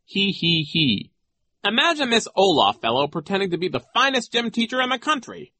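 A man's voice: very high-pitched, very animated, fast, sharp tone, no echo, voice pushed up, full character voice. A man voicing a vain, pompous and nasty male school vice principal in a sneering, mocking manner for an audiobook. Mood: gleefully mocking. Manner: sneering, self-important, mocking.

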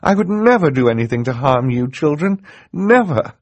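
A man's voice: fairly high pitch, big pitch swings, moderate pace, dry recording, dull audio, pitched above the performer's own voice. A man voicing a kind, earnest woman judge in an audiobook. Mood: distressed. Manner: earnest, pleading.

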